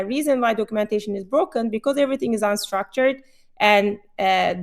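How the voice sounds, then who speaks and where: Turkish-accented woman explaining at length. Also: mid-range voice. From Turkey. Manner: explanatory, measured, frequent "uh" fillers. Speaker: company CEO, guest. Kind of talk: webinar panel discussion.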